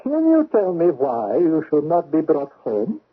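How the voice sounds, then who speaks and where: High, husky menacing spymaster. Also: male voice, wildly swooping pitch, a little slow, heavily acted. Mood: stern, accusing. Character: menacing, accusing Soviet spymaster, a stern male superior. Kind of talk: 1970s radio drama acting. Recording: tinny phone-like old radio recording.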